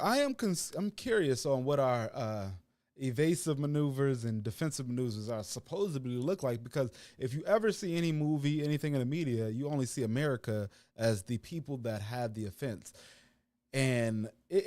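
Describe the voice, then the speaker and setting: A man hosting a panel discussion, rambling and restarting his words, with loudness that swings up and down. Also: medium pitch, no echo, studio quality. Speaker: host.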